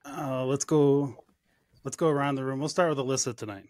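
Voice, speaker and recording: A man's voice: mid-range voice, fairly even intonation, brisk. A man hosting a podcast in a casual, joking way, with wry teasing and mild swearing. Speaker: podcast host. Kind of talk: casual joking group chat.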